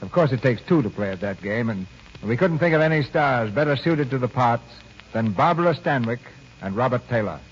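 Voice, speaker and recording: A man hosting a classic radio drama, announcing and narrating from a script in a polished, measured way. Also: mid-range voice, lively intonation, breathy edge. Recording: dull old radio broadcast. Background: music.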